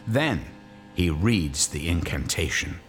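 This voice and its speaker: A man's voice: deep voice, sing-song, slow, soft background music, exaggerated voice. A man playing the narrator of a radio horror drama, grave and suspenseful in his dramatic scripted delivery. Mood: brisk suspense.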